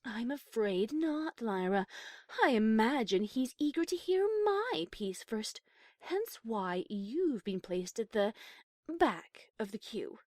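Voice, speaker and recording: A woman acts a haughty high-class female pony musician in a storybook. She speaks slowly in a fairly high, expressive voice, refined and cutting, with a dry, close sound. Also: no room sound, full character voice. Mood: catty. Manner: haughty, measured, sarcastic. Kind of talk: audiobook character acting.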